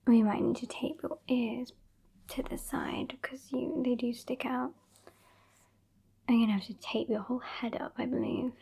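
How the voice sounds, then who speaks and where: A British woman playing a sassy, bossy centuries-old female vampire in an ASMR roleplay, soft and whispery, with a haughty, teasing, dry delivery. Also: fairly high pitch, fairly even intonation, normal speed, vocal fry, husky, full character voice. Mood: bossy, musing.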